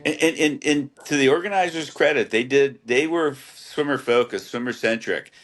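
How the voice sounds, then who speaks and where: A man's voice: medium pitch, moderate pace, a bit bright. A man from Hawaii, a guest on a podcast, who tells swimming anecdotes in a casual, storytelling way and repeats words as he starts. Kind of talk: casual interview chat.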